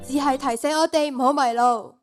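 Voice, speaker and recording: A woman's voice: high voice, steady tone, a little fast, soft background music, natural voice. A teenage girl playing a keen, sincere schoolgirl in a radio drama.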